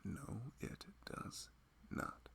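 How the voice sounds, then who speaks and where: A man playing a big, caring husband in an intimate ASMR roleplay, talking softly and fussing affectionately over his pregnant wife. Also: extremely slow. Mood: tender, reassuring. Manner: soft, tender, fussing, playful.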